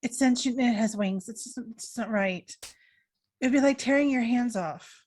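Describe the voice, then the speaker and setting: A woman's voice: on the high side, normal speed, a little mellow. A woman playing a character in a tabletop game, speaking in an earnest, protective way. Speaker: player.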